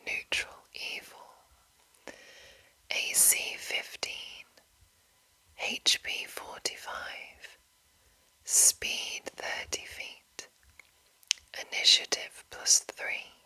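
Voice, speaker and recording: A woman hosts ASMR in a steady whisper, reading aloud and adding soft asides. Her delivery is slow and lingering, with a very crisp, bright edge to each hushed phrase. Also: rough recording. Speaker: ASMR host reading a monster manual.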